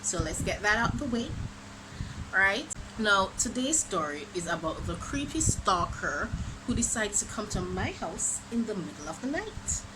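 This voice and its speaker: A young woman from Jamaica with a Jamaican accent, telling a dramatic storytime for her vlog in an animated, exclamatory way. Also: medium pitch, moderate pace. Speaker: vlogger. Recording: noisy background ambience.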